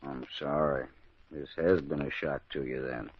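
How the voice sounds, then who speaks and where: Calm country sheriff, dry muffled old radio sound. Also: male voice, a bass voice, unhurried, breathy edge, no room sound, heavily acted. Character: steady small-town male sheriff. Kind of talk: 1940s radio acting.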